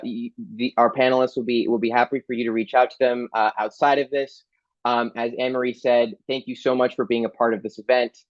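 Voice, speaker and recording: A man's voice: fairly low pitch, no echo, highs cut off. A man moderating a panel, thanking speakers with many hesitations and restarts.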